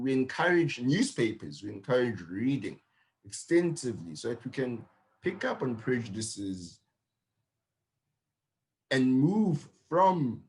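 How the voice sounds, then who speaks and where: A male lecturer giving reflective talk in a measured, earnest way, with slow emphatic phrases. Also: lowish voice.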